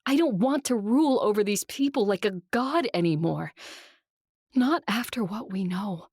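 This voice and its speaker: A woman's voice: mid-range voice, expressive, normal speed, a little mellow, breathy edge, no room sound. An earnest vampire queen, dry recording. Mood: impassioned resolve. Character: idealistic vampire ruler, female, earnest, stubborn, secretly lovestruck. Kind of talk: audio drama acting.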